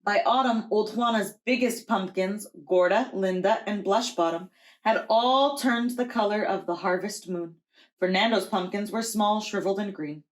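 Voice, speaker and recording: A woman preschool teacher reading a children's storybook aloud in a deliberate, expressive storytelling way. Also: medium pitch, low-quality recording.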